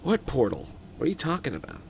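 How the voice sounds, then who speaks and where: A man's voice: a little high, huge pitch swings, fast, gravelly, darkish tone, slight background noise, pitched above the performer's own voice, heavily acted. A man playing a stubborn, driven woman astronomer in an informal script read, insistent and incredulous. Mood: irritated, puzzled.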